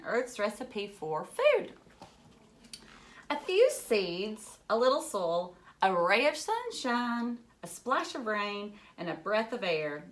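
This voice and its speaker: A woman reads a children's book aloud at a slow, unhurried pace, in a mid-range voice. She sounds like a teacher, full of wonder, explaining things simply and chatting to young listeners as she goes. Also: mid-range voice, fairly bright tone. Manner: enthusiastic, marveling, explains simply with questions to listeners.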